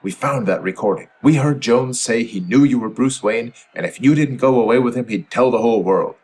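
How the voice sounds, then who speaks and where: A man's voice: medium pitch, brisk, a little mellow, slightly rough, natural voice, low-quality recording. A man playing an upright, righteous adult hero with earnest, emphatic delivery in 1940s radio serial style. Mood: stern, reproachful.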